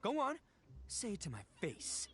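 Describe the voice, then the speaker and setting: A man's voice: sits high, huge pitch swings, a little slow, heavy background noise, heavily acted. A man voicing a boisterous young male oni gang boss in a video game, brash and blustering and prone to stammering when flustered. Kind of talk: animated video game voice acting.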